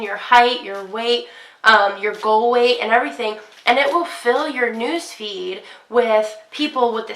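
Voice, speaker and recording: A woman's voice: a little high, sharp tone. A young woman with a congested voice, chatting casually to camera about her fitness week, with plenty of ums. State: congested, sick. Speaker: fitness vlogger. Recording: echoey room, poor quality.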